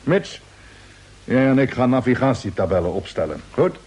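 An actor playing a decisive, businesslike spaceship commander in a radio drama, brisk and commanding, with a husky voice. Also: male voice, medium pitch, very animated, background noise, natural voice. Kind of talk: Dutch radio drama dialogue.

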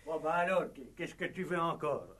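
A man's voice: on the high side, brisk, fairly bright tone. An actor playing a reluctant, impertinent village policeman in a comic vintage radio play, muttering in an offhand, hesitant way. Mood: grudging, cheeky. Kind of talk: comic 1960s French radio play acting. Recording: vintage radio drama recording.

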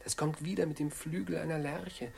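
A man's voice: on the high side, steady tone, normal speed, creaky, darkish tone. An actor playing a loyal, anxious manservant in a German radio play, earnest and deferential toward his master. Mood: concerned, deferential.

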